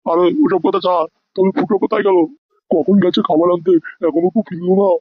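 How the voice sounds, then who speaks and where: A performer voicing a gruff, impatient old grandfather in a comedy cartoon, blustering crudely and demanding answers. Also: medium pitch, steady tone, fast talker, dry recording, muffled recording, natural voice.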